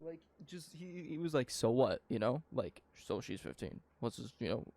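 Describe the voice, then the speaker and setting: A man's voice: mid-range voice, animated, moderate pace, gravelly, a little mellow. A nineteen-year-old man from Canada, co-hosting a podcast in casual, profane, rambling opinion chat. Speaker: podcast co-host.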